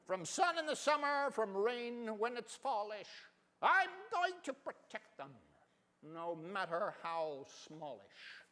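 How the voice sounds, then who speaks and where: An eager little kangaroo child, acted by a man, cute and pitched far up. The rhyming storybook lines echo through a large hall. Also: big pitch swings, slow pace, sharp tone, heavily acted. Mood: eager, sweet. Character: small young kangaroo in pouch, eager, cute. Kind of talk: dramatic storybook reading in rhyme. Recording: reverberant hall.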